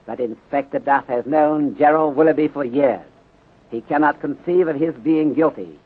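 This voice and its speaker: An actor playing a calm, courteous, bulky detective inspector in a 1930s radio drama, speaking formal, deliberate English with dropped articles. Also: male voice, a little high, slightly rough. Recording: tinny narrow-band 1930s radio recording.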